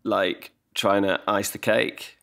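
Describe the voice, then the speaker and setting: Man, 40s, very low and gravelly voice; loose, self-deprecating podcast guest on a home desk mic. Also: little pitch movement, slow, fairly bright tone. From England. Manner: rambling, self-deprecating, many restarts. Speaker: guest songwriter. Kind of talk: casual podcast conversation. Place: living room at home. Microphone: desk mic on stand, video call.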